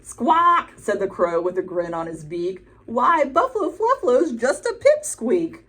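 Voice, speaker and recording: A woman's voice: a little high, animated, moderate pace, voice pushed up. Woman doing a squawky old crow. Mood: gloating. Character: squawky, mangy old male crow, cheeky.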